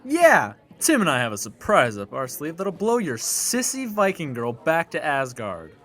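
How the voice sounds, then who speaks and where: A man's voice: a little high, huge pitch swings, moderate pace, fairly bright tone, faint music behind. Guy doing a cocky teen bully, swoopy taunting delivery, podcast drama. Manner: sneering, cocky. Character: taunting male teenage school bully, cocky rival.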